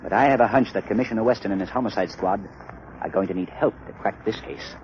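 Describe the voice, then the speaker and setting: An actor playing a suave, commanding gentleman sleuth in a theatrical, authoritative 1930s radio mystery style. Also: fairly low pitch, fast, natural voice. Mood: urgent, wary. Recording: dull, noisy 1930s radio recording.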